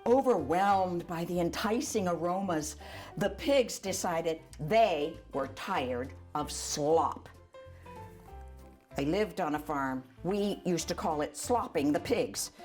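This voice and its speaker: An older woman reads a children's storybook aloud in an animated, chatty way, adding asides for young listeners. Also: on the low side, slow, soft background music. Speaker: volunteer storyteller.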